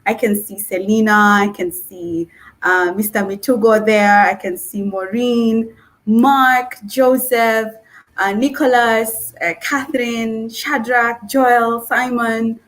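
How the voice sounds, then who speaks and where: A woman's voice: a little high, fairly even intonation, slow pace, a bit bright. A woman hosting a livestream in an informal, effusive and enthusiastic way. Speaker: livestream host.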